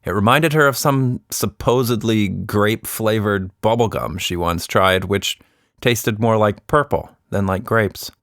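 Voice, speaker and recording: A man narrating a scripted children's story as a storyteller, in an expressive, deliberate manner. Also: lowish voice, dry recording, clean studio sound.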